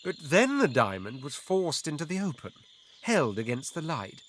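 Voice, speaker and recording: A man playing a proud, eloquent imperial adventurer in a video game, speaking in ornate, measured, theatrical phrases with deliberate pauses. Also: fairly high pitch, sing-song, fairly bright tone, slight background noise. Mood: reflective, earnest. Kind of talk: dramatic video game character acting.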